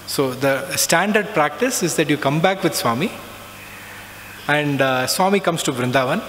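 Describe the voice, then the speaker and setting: A middle-aged man tells a reflective personal story, often pausing with "uh" and "so". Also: fairly high pitch, expressive, a bit bright. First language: not Hindi. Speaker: alumnus giving a talk. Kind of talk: personal spiritual talk. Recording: long reverb, faint background noise.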